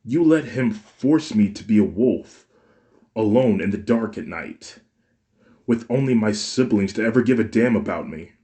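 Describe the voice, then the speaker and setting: A man's voice: lowish voice, animated, normal speed, dark tone, pitched above the performer's own voice, close to an everyday voice. Anguished young man, as a man reads him. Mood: accusing. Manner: raised, accusing, intense. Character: anguished young man confronting his mother. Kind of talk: fan story read aloud.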